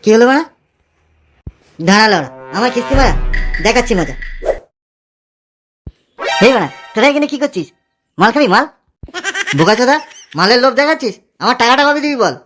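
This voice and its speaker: A man's voice: very high-pitched, big pitch swings, a little fast, pauses often, music in the background. A man voicing a hot-tempered, foul-mouthed young village man in a comedy cartoon, brash and crude. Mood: aggressive. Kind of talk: exaggerated comedy cartoon dialogue. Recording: clearly noisy recording.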